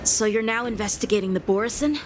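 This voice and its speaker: A woman's voice: mid-range voice, fast talker, background noise, natural voice. A woman voicing an earnest, inquisitive young officer in a video game, with a polite, questioning manner. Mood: inquisitive, serious. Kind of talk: scripted video game dialogue acting.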